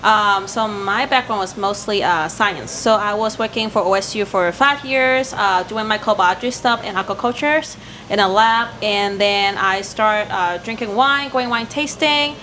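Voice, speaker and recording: A woman's voice: mid-range voice, moderate pace, sharp tone, a little hiss or hum. Hesitant woman from Hong Kong. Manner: hesitant, many fillers. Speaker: sommelier, podcast guest. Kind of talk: casual podcast chat.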